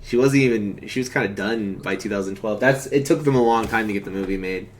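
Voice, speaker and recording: A man's voice: on the low side, quick pace, a little hiss or hum. A man hosting a stream, chatting casually about movies in a rambling, casually profane way. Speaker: stream host. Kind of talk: casual film commentary chat.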